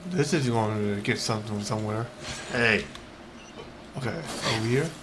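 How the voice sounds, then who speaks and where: A man's voice: on the low side, normal speed, noisy background. A man giving casual, offhand commentary as he streams a video game. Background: loud game music.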